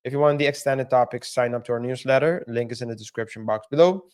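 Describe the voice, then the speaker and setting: A man cohosting a commentary show, calmly giving audience reminders. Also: medium pitch, fast talker, smooth. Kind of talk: casual show sign-off chat.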